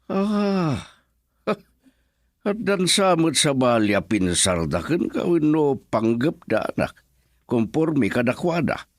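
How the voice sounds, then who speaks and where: A man playing a slow, gentle old father. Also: on the high side, sing-song, no room sound, studio quality, full character voice. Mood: fatherly. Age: older. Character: gentle old father advising his daughter. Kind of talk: radio drama acting.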